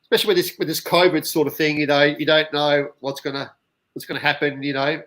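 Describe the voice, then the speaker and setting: A man from the UK interviewing a band, talking haltingly with lots of restarts and fillers. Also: a little high, flat delivery, fairly bright tone, rough recording. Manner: halting, stammering, many restarts. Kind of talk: casual interview.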